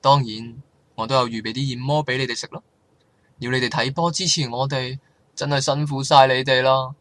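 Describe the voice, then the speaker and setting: A man's voice: medium pitch, fairly even intonation, brisk, fairly bright tone, natural voice. A man playing a showy, patronisingly generous rich schoolboy with a Hong Kong Cantonese accent in an amateur radio drama. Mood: smugly gracious.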